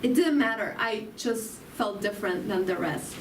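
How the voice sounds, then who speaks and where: A woman with an Argentine Spanish accent giving an inspirational sermon, slow and reflective, asking her listeners questions. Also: medium pitch, slight background noise. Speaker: spiritual talk speaker. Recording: roomy, from far off, faint ambience.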